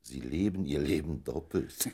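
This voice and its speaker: Very deep, gravelly worldly adviser. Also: male voice, darkish tone, exaggerated voice. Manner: measured, pointed. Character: worldly older man, measured, shrewd, candid adviser. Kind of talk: German radio play acting.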